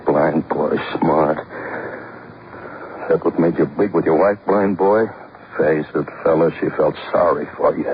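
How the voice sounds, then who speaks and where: An actor playing a cornered, cruel killer who taunts with tough contempt in a 1950s radio crime drama. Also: male voice, rumbling low, fairly even intonation, measured pace. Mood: cruel taunting. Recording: dull, noisy old radio recording.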